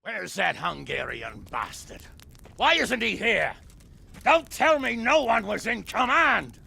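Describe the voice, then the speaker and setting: An actor playing a gruff, blunt, hot-tempered medieval lord in a video game, giving orders to his soldiers. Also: sits high, sing-song, slow pace, faint music behind, full character voice. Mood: angry, demanding. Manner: blunt, commanding, coarse-mouthed. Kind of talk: dramatic video game acting.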